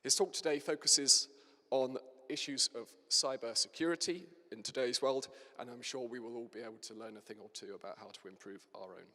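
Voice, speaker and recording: A man hosting a lecture series, giving a formal introduction of the speaker with some pauses. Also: medium pitch, bright, forceful tone. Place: large lecture hall. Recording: hall reverb.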